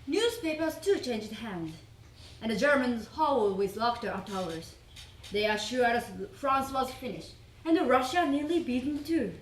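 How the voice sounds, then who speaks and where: A woman delivers a dramatic recitation with earnest emphasis on her phrases. Her voice sits high. The recording has a clear room echo and a little background noise. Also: expressive, a little slow. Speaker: readers theater performer. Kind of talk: dramatic storytelling recitation of a letter.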